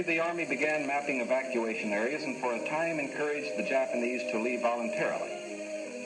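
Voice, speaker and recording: A man's voice: mid-range voice, rough recording. Authoritative 1940s newsreel narrator, man. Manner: measured, authoritative, official tone. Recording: old 1940s newsreel soundtrack with music. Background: music score.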